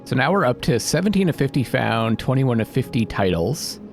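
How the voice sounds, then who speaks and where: A man's voice: medium pitch, sing-song, moderate pace. A man giving casual let's-play commentary, muttering and thinking aloud as he goes and reading bits out. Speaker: gaming video host. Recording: music behind the voice. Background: game music.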